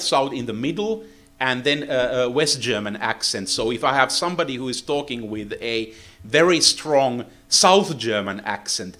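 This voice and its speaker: A man from Finland, a native Finnish speaker with a Finnish accent, giving a technical seminar lecture in English with frequent "uh, uh" pauses. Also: medium pitch, a bit bright. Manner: halting, many "uh, uh" fillers.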